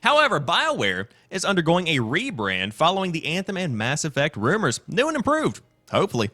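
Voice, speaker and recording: A man's voice: on the high side, wildly swooping pitch, fairly quick, bright, forceful tone. A man hosting a gaming news show, mixing read-out news with his own off-the-cuff commentary.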